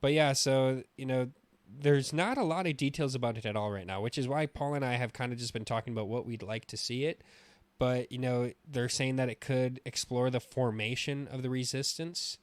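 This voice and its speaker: A man's voice: mid-range voice, normal speed, dry recording. Man chatting on a dry-sounding podcast. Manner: opinionated, swears casually. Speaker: podcast co-host. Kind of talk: casual gaming podcast chat.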